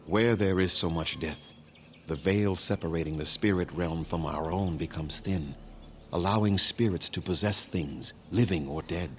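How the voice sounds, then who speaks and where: A man as a very deep-voiced elven leader. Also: slight background noise, natural voice. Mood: solemn warning. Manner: formal, measured, solemn. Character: grave, weary elven clan leader in fantasy game. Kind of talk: scripted video game dialogue.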